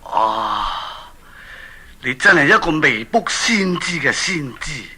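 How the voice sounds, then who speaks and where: A man's voice: on the high side, sing-song, slow pace, bright, forceful tone, breathy edge, full character voice. An actor playing an aging, worried and impatient king in a children's radio drama, exclaiming and questioning theatrically. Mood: troubled, sighing.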